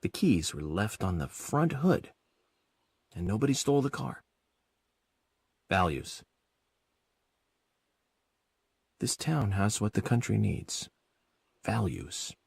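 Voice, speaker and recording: An actor playing an eccentric, chatty FBI agent in a video game, musing in a measured, self-assured, theatrical way. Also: male voice, on the low side, very animated, vocal fry, breathy edge, no room sound, heavily acted. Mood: smooth, pleased. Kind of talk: scripted game dialogue, monologue to an unseen companion. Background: game music.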